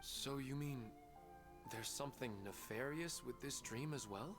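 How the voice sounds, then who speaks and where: A man's voice: mid-range voice, measured pace, close to an everyday voice. Man as a humble, mild-mannered father. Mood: troubled. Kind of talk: video game voice acting. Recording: music behind the voice.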